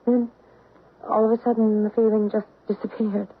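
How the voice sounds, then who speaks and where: A woman's voice: mid-range voice, flat delivery, moderate pace, slightly rough. An actress playing an earnest, emotional housewife and mother who believes in ghosts, in an old radio drama. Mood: wondering. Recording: muffled phone-like old radio recording.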